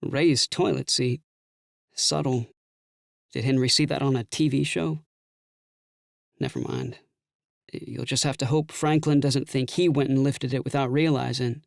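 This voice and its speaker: Actor playing a creaky-voiced, sarcastic jealous husband. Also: male voice, medium pitch, animated, a little slow, long pauses, dry recording, clean studio sound. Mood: mocking. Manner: wry, theatrical, quippy delivery. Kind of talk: full-cast audiobook dialogue acting.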